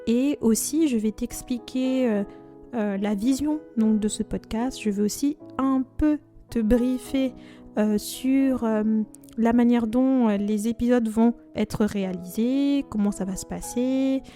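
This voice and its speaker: A woman's voice: fairly high pitch, warm tone. A woman in her twenties, originally from Congo, hosting a faith podcast in an earnest, devout and chatty manner with frequent fillers. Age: 20s. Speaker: podcast host.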